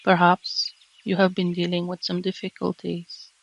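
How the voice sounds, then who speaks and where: A woman meditation teacher narrating a guided relaxation in a gentle, calming, measured way with long pauses. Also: fairly low pitch, vocal fry, lo-fi sound. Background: birdsong and nature sounds.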